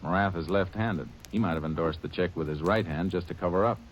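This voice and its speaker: An actor playing a steady, sharp-witted Texas Ranger who also narrates, in 1940s radio-drama style, calm and clipped. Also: male voice, sits low, brisk, slight background noise, lightly acted. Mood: determined. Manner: calm, clipped, matter-of-fact. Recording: old radio broadcast with music.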